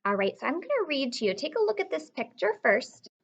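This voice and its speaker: A woman with a high, very expressive voice teaches young children by reading aloud. She explains things simply and checks in with questions, stretching key words like a storyteller. The recording is a little dull and lo-fi. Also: fairly quick.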